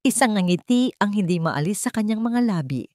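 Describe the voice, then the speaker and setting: A woman reading a scripted dramatic story in a measured, sentimental way, phrase by phrase. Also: medium pitch, lively intonation, a little mellow, dry recording, studio quality. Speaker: story narrator.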